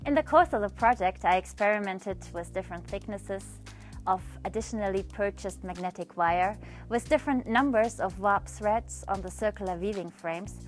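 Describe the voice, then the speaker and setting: A woman's voice: medium pitch, normal speed. A woman, giving a scripted presentation as an artist. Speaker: textile artist presenting own project. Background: music.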